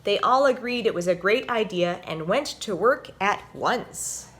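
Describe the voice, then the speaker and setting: A woman hosting a story time for children, reading and explaining things simply with expressive, deliberate phrasing. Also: mid-range voice. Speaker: host and story reader, orchestra violinist.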